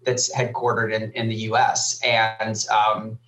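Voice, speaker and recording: A man's voice: lowish voice, completely flat delivery, a little slow, a bit bright. A man speaking as a podcast guest, thoughtful and hedging with frequent fillers. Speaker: guest, former army cyber officer. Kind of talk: casual podcast discussion. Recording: echoey room.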